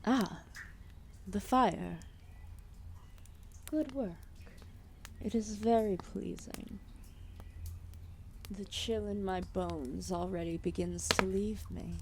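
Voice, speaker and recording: A woman playing a calm, solemn, ancient serpent deity in an ASMR roleplay, speaking softly and formally with deliberate pauses. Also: mid-range voice, slight background noise. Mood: gentle, affectionate. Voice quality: soft, breathy, often dropping into whispers. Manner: slow, deliberate, formal phrasing with long pauses.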